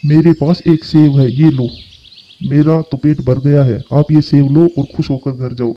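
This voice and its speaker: Man as brotherly crow, cartoon, kind, reassuring, raised pitch, dull lo-fi recording. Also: little pitch movement, a little slow. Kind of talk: Hindi cartoon voice acting. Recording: slightly dull, lo-fi sound.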